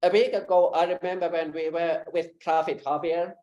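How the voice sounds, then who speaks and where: A woman's voice: fairly low pitch, fairly even intonation, mellow, echoey room. A woman, preaching in English in short phrases for an interpreter. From Finland. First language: Finnish. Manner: speaks short phrases, pausing for translation. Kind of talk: teaching through an interpreter.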